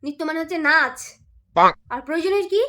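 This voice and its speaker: A woman's voice: very high voice, quick pace. A woman doing domestic comedy banter as a nagging, argumentative wife. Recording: noisy home-video sound.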